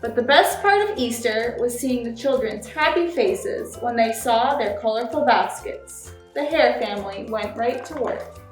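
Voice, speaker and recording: A woman reads children's stories aloud in a slightly high voice. She is recorded in an echoey space, a little way from the microphone. She presents as a volunteer story time reader. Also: lively intonation, measured pace. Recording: roomy echo, distant mic, music behind.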